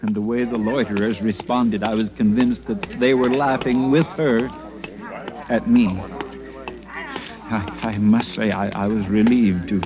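A man's voice: fairly low pitch, fairly even intonation, unhurried, crowd noise, lightly acted. Man acting fussy, stammering timid tourist, vintage radio. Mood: nervous, fearful. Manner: hesitant, stammering, prim and flustered. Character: timid, fussy American male tourist recounting his misadventure. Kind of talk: 1940s radio drama acting, first-person narration. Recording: muffled vintage radio recording with music.

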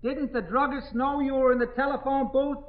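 An actor playing a steady, reassuring police sergeant who calls out plain questions in 1950s radio drama style. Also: male voice, very high voice, normal speed. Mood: patient, questioning. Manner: raised voice, plain-spoken questioning. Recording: dull 1950s radio recording.

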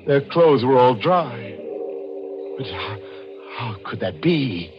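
Rattled, slow-talking guilty man. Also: mid-range voice, big pitch swings. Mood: panicked. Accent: American. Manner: halting, repeating words in agitation. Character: guilty, rattled man bluffing his innocence. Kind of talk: 1940s radio acting, first-person narration and dialogue. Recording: muffled old radio broadcast, faint music.

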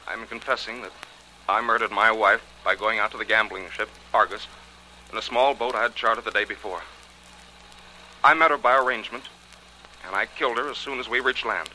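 An actor playing a grim, defeated American murderer giving a stiff, halting confession in 1940s radio drama style. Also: male voice, lowish voice, pushed and bright. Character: confessed wife-killer, grim, strained and defeated. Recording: noisy, harsh 1940s radio recording.

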